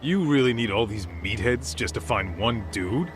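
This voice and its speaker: A man's voice: on the low side, lively intonation, moderate pace, close to an everyday voice. An actor playing a brash, streetwise male detective in a game dub, teasing and blunt, with taunting slang. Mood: cocky, taunting. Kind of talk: dubbed video game cutscene acting. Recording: music behind the voice.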